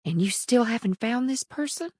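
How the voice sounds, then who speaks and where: A man's voice: very high voice, lively intonation, slightly rough, no echo, voice pushed up, heavily acted. A male narrator voicing a weary young mother of about thirty with a dry, frazzled way of talking. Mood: wry, weary. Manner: dry, frazzled, quick to chatter.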